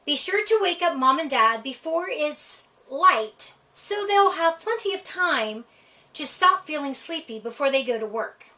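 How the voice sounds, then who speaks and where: A woman with a high voice reads a children's picture book aloud at storytime, her pitch swinging widely as she plays up the lines. The delivery is playful and expressive, and she sounds set back from the microphone. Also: measured pace. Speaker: library storytime reader. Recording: voice heard from a distance off the mic.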